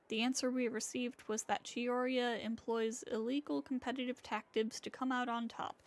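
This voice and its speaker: An actress playing a formal, earnest young woman in a video game, speaking in a deliberate, articulate way. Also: fairly high pitch, lightly acted. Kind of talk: video game acting, dramatic read-aloud.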